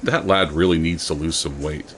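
An actor playing a stern, ruthless, sardonic official in an audio drama, blunt and gruff. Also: male voice, extremely low pitch, steady tone, moderate pace, a bit bright, a little hiss or hum.

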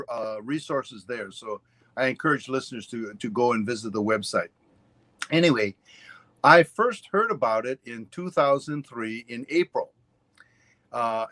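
An older man from Hawaii talks over a webcam call from his home, rambling through memories with plenty of fillers and side trips. His voice sits at a middle pitch, and the sound is dry and close, with no room echo. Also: a bit bright. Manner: rambling storyteller, many fillers and asides. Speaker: ukulele teacher, guest. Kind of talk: casual interview chat. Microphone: webcam on a video call.

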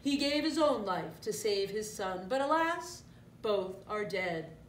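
Storybook villain: scheming male lion, acted by a woman, snarling, drawn-out, swooping delivery, roomy distant recording. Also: high-pitched, lively intonation, slight background noise, voice pushed up. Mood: mock solemn. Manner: snarling, mock-solemn, drawn-out.

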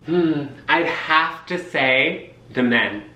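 A man's voice: fairly high pitch, animated, extremely slow, sharp tone, voice pushed down, heavily acted. Man doing an effeminate, gushing guy, roomy room. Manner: chatty, peppered with "like". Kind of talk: comic character monologue. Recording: roomy kitchen, distant camera mic.

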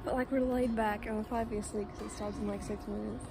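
A woman's voice: mid-range voice, steady tone, quick pace, creaky, very noisy. A teenage girl talking casually to her phone camera for a family vlog, full of "like" fillers. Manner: chatty, many "like" fillers. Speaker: vlogger. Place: museum and theater, indoors. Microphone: phone at arm's length.

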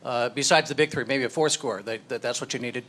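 Male sports reporter, halting questions. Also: medium pitch, a bit bright. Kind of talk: asking questions at press conference.

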